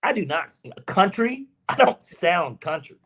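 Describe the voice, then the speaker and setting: Animated Southern man with a lively voice. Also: high-pitched, unhurried. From Georgia, US South. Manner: emphatic, animated, swears freely. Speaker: podcast co-host. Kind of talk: casual sports podcast chat.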